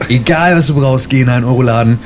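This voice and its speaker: A man's voice: medium pitch, fast talker, a little hiss or hum, close to an everyday voice. A man voicing a jittery, paranoid conspiracy nut in a tabletop game, stammering and repeating himself. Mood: quirky, matter-of-fact. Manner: stammering, repeating words in panic.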